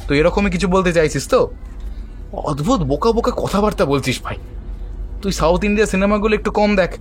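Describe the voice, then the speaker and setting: Scoffing young neighbourhood ringleader, high-pitched, colloquial and questioning; horror audio drama with faint music underneath. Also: male voice, animated, quick pace. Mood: sarcastic. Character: young local man, scheming ringleader, puzzled and sarcastic. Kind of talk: audio horror story acting.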